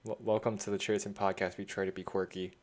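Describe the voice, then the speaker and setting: A man's voice: sits low, level tone, fast, darkish tone. A man talking casually as a panelist in a group podcast chat.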